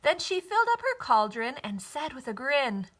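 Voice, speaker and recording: A woman narrating a children's story expressively, with a deliberate, rhythmic rhyming delivery. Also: high voice, sing-song, fairly bright tone. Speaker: storybook reader.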